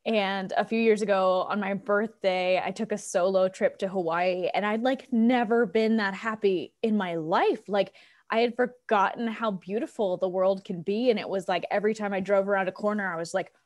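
An American woman in her thirties with a mid-range voice chats as an interview guest. She is animated and informal, talking casually over a video call. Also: mid-range voice, normal speed. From United States, Colorado. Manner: chatty, animated, giggly, informal. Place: living room on a video call. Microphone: wired earbuds on a video call.

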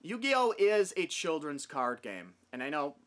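A man's voice: a little high, very animated, a little slow, a bit bright. A young man talking casually and emphatically to camera, giving his opinions as a video host in a room at home. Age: young adult. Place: small wood-paneled room at home.

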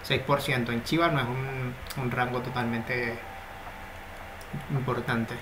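A man gives a screen-recorded crypto market analysis, talking through each chart in a steady, explanatory way. His voice sits in the middle range for a man. The recording carries clear background noise from his home setup. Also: medium pitch, a little slow.

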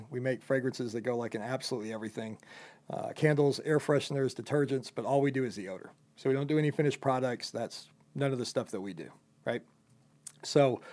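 A man's voice: mid-range voice, darkish tone. A young man who owns a company, casually interviewing a job applicant in a loose, rambling way with lots of fillers.

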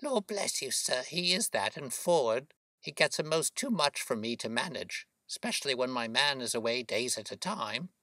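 A woman's voice: extremely low pitch, big pitch swings, moderate pace, bright, forceful tone, dry recording, pitched above the performer's own voice, full character voice. A man voicing a worried, talkative working-class London boatman's wife, chatty and deferential, in an audiobook story. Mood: fond, chatty.

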